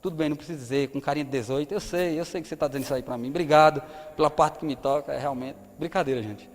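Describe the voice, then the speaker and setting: Man in his thirties, northeastern Brazilian accent, preaching, fairly high voice, long church reverb. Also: very fast. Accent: Brazilian Portuguese, northeastern. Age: 30s. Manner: conversational preaching, rhetorical questions to the audience. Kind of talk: sermon. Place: church hall.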